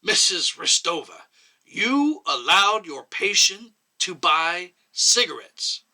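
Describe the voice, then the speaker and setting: A man acting out a stern male chairman in a dramatic story reading. He interrogates with exasperated emphasis, stretching key words. The voice is sharp and forceful, leaping widely in pitch. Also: sing-song, slow pace, full character voice. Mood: stern, pressing.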